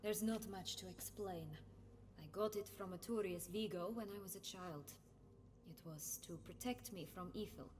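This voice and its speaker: A woman's voice: medium pitch, normal speed, darkish tone, a little hiss or hum, natural voice. A woman playing a proud, wry, embittered adventurer in a fantasy video game, with a guarded, sardonic manner. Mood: wistful, bitter. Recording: reverberant, music behind.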